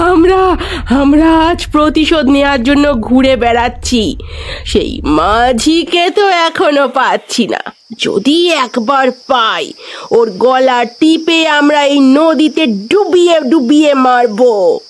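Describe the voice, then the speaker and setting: A woman's voice: sits high, animated, slow pace. A woman playing the vengeful ghost of a murdered young woman in a horror audio story, menacing and repeating phrases with emphasis. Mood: vengeful anger.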